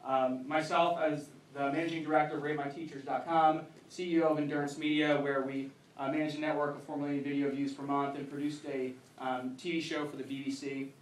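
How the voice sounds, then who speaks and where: A man presenting a pitch to a live audience as a startup founder, in an emphatic stage delivery. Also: little pitch movement, a little fast, slight background noise. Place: indoor event venue, speaking to a crowd. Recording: roomy echoing event hall, distant.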